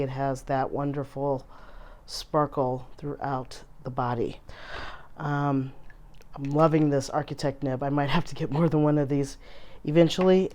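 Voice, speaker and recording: A woman hosting a casual fountain pen vlog, her voice hoarse from a recent illness, chatty and full of filler ums as she shows her pens. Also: deep voice, mellow. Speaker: fountain pen channel host. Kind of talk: casual show-and-tell vlog.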